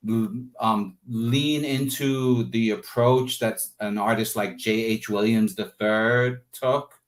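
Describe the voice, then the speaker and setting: Low-pitched man, thoughtful interview talk. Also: steady tone, takes it slowly. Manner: thoughtful, searching for words, frequent "like" and "you know". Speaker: comic artist being interviewed. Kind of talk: casual interview talk about creative process.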